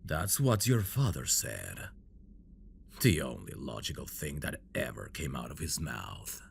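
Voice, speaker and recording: Man acting deep, gravelly dragon-blooded villain prince. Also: big pitch swings, a little hiss or hum, heavily acted. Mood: wistful, possessive. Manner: slow, brooding, menacing endearments, dropping into whispers. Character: obsessive menacing dragon-blooded villain prince, possessive lover. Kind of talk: ASMR roleplay, dramatic monologue.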